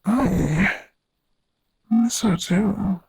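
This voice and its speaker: A woman playing a sinister, seductive inner voice that coaxes and feeds obsession in a horror radio drama, husky and breathy. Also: mid-range voice, drawn-out words, a little mellow, dry recording, studio quality, heavily acted. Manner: coaxing, insistent.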